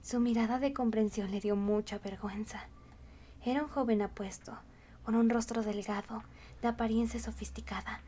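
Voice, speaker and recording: A woman's voice: on the high side, fairly even intonation, moderate pace, warm tone, slight background noise. A woman reading scripted storybook narration as the narrator.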